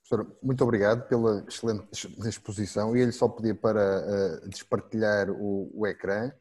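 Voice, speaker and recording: Male moderator, low husky voice, formal and courteous, on a video call. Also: fairly even intonation, a little mellow. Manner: polite, formal, measured. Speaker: session moderator. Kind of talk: moderating a webinar.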